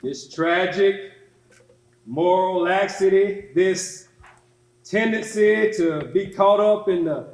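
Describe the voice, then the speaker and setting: High-voiced man preaching in an echoey hall. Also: takes it slowly, darkish tone. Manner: emphatic, rhetorical repetition. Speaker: preacher delivering a sermon. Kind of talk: oratorical sermon with congregation responses. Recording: echoey hall with responding congregation. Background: congregation calling out responses.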